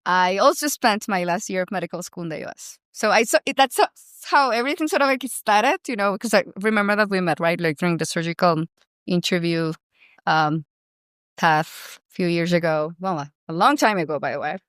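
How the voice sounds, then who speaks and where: A woman's voice: on the low side, a little fast, a bit bright, clear, resonant tone, no room sound, studio quality. A woman in her thirties with a Colombian accent, a doctor and founder chatting as a podcast guest, filling her talk with "you know" and "like". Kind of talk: casual interview conversation.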